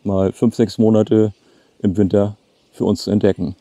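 Male travel vlogger, late 50s, casual delivery, very dark soft-edged tone, dry outdoor sound. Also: on the low side, little pitch movement, moderate pace, dry recording. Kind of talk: casual chat to camera. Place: outdoors by a lake.

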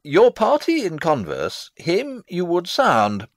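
A man's voice: high-pitched, huge pitch swings, takes it slowly, no room sound, clean studio sound, full character voice. An actor playing a fussy, long-winded old father and royal counsellor in a theatrical Shakespeare reading, pompous and rambling. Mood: scheming, pompous. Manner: rambling, pompous, theatrical, losing his thread. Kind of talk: dramatic reading of a Shakespeare play.

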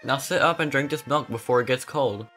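A man voicing a gentle, soothing troll mother in a cartoon fandub. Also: medium pitch, brisk, faint music behind. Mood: caring.

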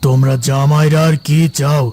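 A man's voice: fairly high pitch, flat delivery, moderate pace, warm tone, lightly acted. A man playing a stingy, stubborn old village father-in-law in a cartoon, curt and scolding over music. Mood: grumbling. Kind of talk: cartoon dialogue.

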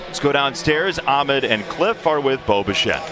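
A man calling a baseball game as play-by-play announcer, signing off the broadcast in a smooth, upbeat manner. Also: medium pitch, animated, unhurried, a crowd in the background. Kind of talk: broadcast sign-off commentary. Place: stadium broadcast booth.

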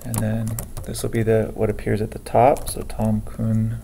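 Man narrating a coding screencast: flat, gravelly, unhurried, light background hiss. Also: fairly low pitch. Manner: slow, methodical, thinking aloud while typing. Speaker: programming teacher. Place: at a computer, keyboard typing heard.

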